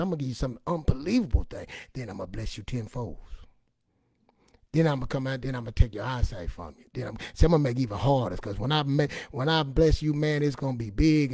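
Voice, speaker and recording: A raspy-voiced man giving an informal, emphatic personal testimony in a streetwise, repetitive way, acting out bits of dialogue as he goes. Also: medium pitch, mellow, no room sound, studio quality. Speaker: online motivational and faith speaker.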